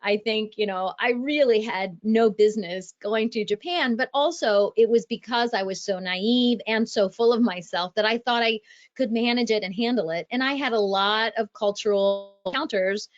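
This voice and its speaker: A woman from Ohio, an author speaking as a podcast guest and telling her story in a measured way. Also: fairly high pitch, highs cut off. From Ohio, USA. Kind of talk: podcast interview.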